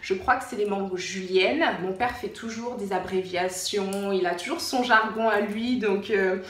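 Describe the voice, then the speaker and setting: A woman's voice: medium pitch, moderate pace, a bit bright. A woman from France with a French accent, chatting casually as a vlogger, informal and repeating words for emphasis. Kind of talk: casual chatty show-and-tell vlog. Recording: roomy echo, distant mic, faint noise.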